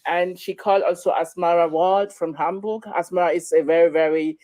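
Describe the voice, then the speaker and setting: A woman's voice: on the low side, steady tone, mellow, no echo. Middle-aged woman from Cameroon, dry sound. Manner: hesitant, halting phrasing. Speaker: interview guest, chairwoman of a diaspora association. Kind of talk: conversational interview answers.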